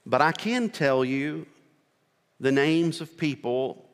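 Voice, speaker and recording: A middle-aged man with a rural American accent preaching a sermon as a missionary, earnest and slow, with pauses between short phrases. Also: a little high, lively intonation, clear, resonant tone. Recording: long reverb of a large hall.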